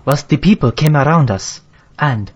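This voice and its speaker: A man's voice: a little high, expressive, normal speed, muffled recording, background noise, close to an everyday voice. An actor playing a rueful young man recounting his misfortune, in formal, declamatory storybook narration with archaic language.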